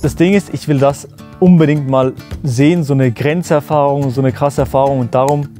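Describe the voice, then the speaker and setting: A man's voice: mid-range voice, steady tone, normal speed, dark tone, faint music behind. A man in his twenties talking to camera in a joking, teasing, slangy vlog style. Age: 20s. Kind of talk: casual vlog talk to camera.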